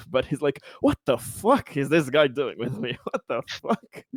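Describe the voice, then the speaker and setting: A man's voice: fairly high pitch, wildly swooping pitch, slow pace, husky, no room sound, studio quality. A man playing a tabletop game who swears freely, laughs often and acts out his character's worried inner thoughts. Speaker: player. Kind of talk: animated tabletop game chat.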